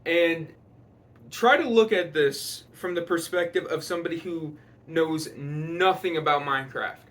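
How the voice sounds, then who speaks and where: A man giving a casual, rambling movie commentary, exasperated and quick to swear. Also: fairly high pitch, a bit bright. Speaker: video commentator.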